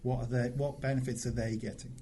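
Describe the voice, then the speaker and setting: A man's voice: mid-range voice, little pitch movement, fast, dark tone, slight background noise. A man chatting casually as a podcast guest, with a playful, dry sense of humour. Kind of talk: casual interview chat.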